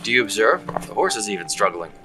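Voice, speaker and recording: A man's voice: lowish voice, big pitch swings, fairly quick, recorded from a distance, over music. A man playing a blunt, bossy pallbearer with little patience in an audio drama. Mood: impatient, scornful. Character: blunt, impatient male pallbearer scornful of superstition.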